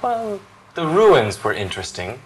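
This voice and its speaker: A young man acting out simple English lesson dialogues in a clear, deliberate voice. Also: a little high, huge pitch swings, rough recording. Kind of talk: slow scripted classroom dialogue.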